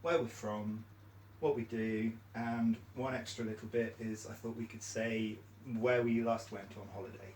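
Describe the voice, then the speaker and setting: A man's voice: fairly low pitch, fairly even intonation, normal speed, a little mellow, a little hiss or hum. A man from Cornwall, leading a small training session in an upbeat, encouraging way. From Cornwall, England. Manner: upbeat and encouraging, frequent fillers. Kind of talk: friendly training-session facilitation. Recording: echoey room, mic at a distance.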